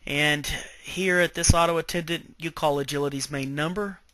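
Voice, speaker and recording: Male tech trainer, slightly high bright voice, dry close sound, informal step-by-step screen walkthrough. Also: steady tone, moderate pace, dry recording. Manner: step-by-step explaining, checking in with okay. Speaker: IT trainer presenting software tutorial.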